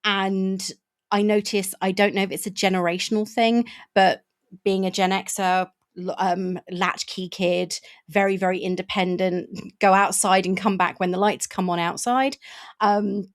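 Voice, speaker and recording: A woman's voice: medium pitch, little pitch movement, a little slow. A woman in her fifties hosting a book podcast, frank and conversational, with restarts. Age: 50s. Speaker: podcast host. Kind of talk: casual interview chat.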